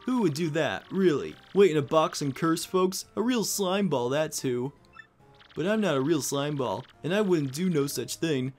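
Man putting on a talking treasure chest, slick showman, wisecracking, bouncy pitch, a touch high. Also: animated, darkish tone, faint music behind. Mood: chummy, wheedling. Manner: fast-talking, joking, salesman-like.

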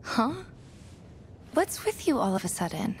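An actress with an American accent playing a warm, earnest young woman fighter in a video game. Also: on the high side, big pitch swings, a little slow, a little hiss or hum. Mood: warm, gentle. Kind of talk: video game cutscene voice acting.

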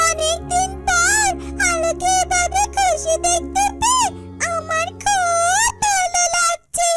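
A caring little fairytale bird, with an extremely high, childlike voice. The delivery is slow, sweet and earnest, as in a children's cartoon. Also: female voice. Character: small, tender, caring talking yellow bird companion. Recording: loud music behind the voice. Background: children's cartoon music.

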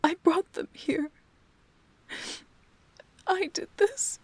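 Casting: actress playing young fantasy heroine, high voice, very slow breathy pleading, devoted and emotional. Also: female voice, a little mellow, exaggerated voice. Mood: tearful, guilty. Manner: breathy, halting, pleading delivery. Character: young woman pleading tearfully for her beloved beast. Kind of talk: emotional fantasy audio roleplay.

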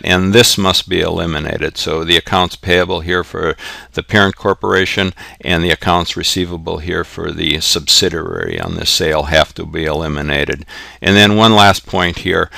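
Low-pitched, creaky male tutorial narrator. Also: bright, forceful tone, dry recording. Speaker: accounting instructor. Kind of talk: informal tutorial narration explaining worked examples step by step. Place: close indoor voice-over for a screen recording.

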